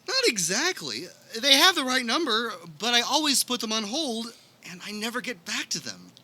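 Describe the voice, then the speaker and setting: A woman's voice: a little high, sing-song, normal speed, pushed and bright, exaggerated voice. A woman playing an unbothered male call-center agent in a comic radio play. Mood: matter-of-fact. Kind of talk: live comic radio theater acting.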